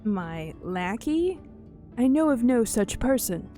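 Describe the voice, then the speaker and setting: A polite fantasy game traveller read aloud by a woman speaks formally and deliberately, with a mellow tone and easily roused exasperation. Game music plays behind the voice. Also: fairly high pitch, sing-song. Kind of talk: reading game dialogue aloud in character.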